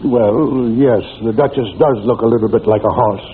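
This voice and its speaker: An actor playing a stuffy, scheming royal count, formal and condescending, in an old-time radio comedy. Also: male voice, medium pitch, fairly even intonation, normal speed, natural voice. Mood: evasive. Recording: noisy, tinny old radio recording.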